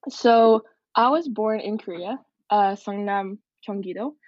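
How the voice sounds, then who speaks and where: A woman's voice: medium pitch, unhurried, a little mellow, dry recording, muffled recording. A young woman from Canada, a military cadet, answering interview questions in an earnest, reflective way. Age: young adult.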